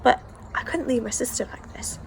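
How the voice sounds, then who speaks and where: A woman's voice: a little high, a little fast, slight background noise. A woman reading a children's novel aloud as the first-person narrator, telling the story expressively. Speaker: audiobook reader.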